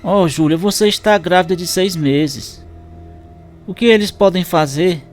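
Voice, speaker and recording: An actor playing a loving, earnest husband in a radio drama. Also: male voice, high voice, unhurried, faint music behind. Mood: anxious, tender. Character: Eduardo, loving, worried husband.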